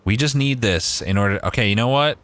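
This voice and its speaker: A man's voice: mid-range voice, a little fast, a bit bright. A young Canadian man with a Maritime accent, thinking aloud and swearing casually as he streams a game. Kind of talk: casual gameplay livestream chat.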